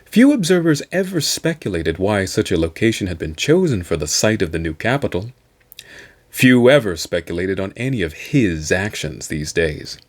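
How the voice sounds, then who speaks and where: A man reading a science fiction novel aloud as host, with a theatrical, deliberate delivery. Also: low voice, sing-song.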